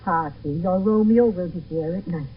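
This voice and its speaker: An older actress playing a distraught, garrulous old nurse in a 1970s radio Shakespeare play, lamenting in drawn-out repetitions. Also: female voice, on the low side, natural voice. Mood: subdued, comforting. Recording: muffled, noisy old radio broadcast.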